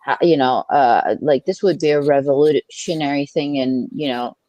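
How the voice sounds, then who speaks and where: Curious interviewer asking stuff kinda hesitantly, dry sound, mid pitch. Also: normal speed, dark tone, dry recording. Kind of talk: casual livestream discussion.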